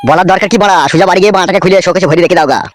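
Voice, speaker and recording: গ্রামবাংলার টানে একজন পুরুষ কার্টুনে এক লোভী, মুখখারাপ, টিটকিরি-মারা ছোকরা চ্যালার গলা দিচ্ছে।. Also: male voice, sits high, steady tone, rapid-fire, close to an everyday voice. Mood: jeering. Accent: rural West Bengali. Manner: jeering, swaggering. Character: mocking, greedy young henchman, crude prankster. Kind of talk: amateur comedy cartoon dub.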